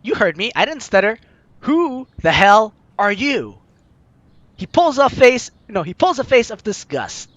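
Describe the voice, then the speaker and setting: A playful, slangy gaming host reading a visual novel aloud and reacting in an animated way. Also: medium pitch, unhurried, no echo, muffled recording. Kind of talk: lively let's play, reading aloud and reacting. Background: game music.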